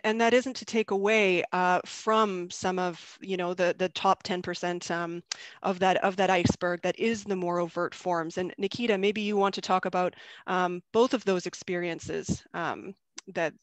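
A woman's voice: lowish voice, steady tone, normal speed, dry recording. A woman moderating a video panel, hesitant and full of fillers as she hands over.

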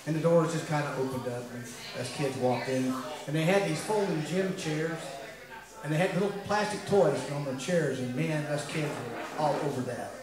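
A man's voice: fairly high pitch, moderate pace, crowd noise. An American man from West Virginia, a singer-songwriter, tells a rambling, wryly humorous story in a casual way from a small pub stage. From West Virginia, United States. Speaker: singer-songwriter, live performer. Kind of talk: casual storytelling between songs. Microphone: far camera, amplified stage voice. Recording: echoey live room, distant camera microphone.

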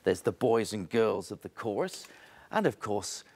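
A man in his fifties presents a scripted piece to camera. His voice is deep, and his intonation swings widely and livelily. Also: big pitch swings, a little slow, darkish tone. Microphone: lapel mic.

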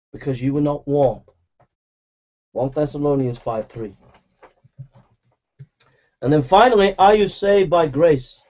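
A man delivering a fiery, exhorting sermon, hammering phrases over and over and mockingly imitating flattering preachers. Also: high voice, muffled recording.

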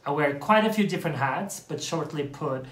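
A man's voice: fairly high pitch, animated, moderate pace, fairly bright tone, roomy sound. A young man from Norway with a Norwegian accent, co-hosting a couple vlog and introducing himself in an upbeat, slightly formal, self-deprecating way from a small room at home. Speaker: vlog co-host.

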